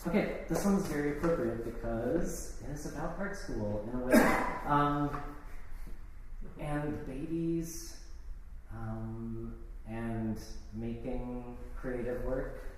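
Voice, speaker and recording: A man's voice: medium pitch, takes it slowly. A man giving a live poetry reading of his own work, with casual introductions between pieces. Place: live event in an art gallery. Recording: echoey, noisy hall, distant microphone.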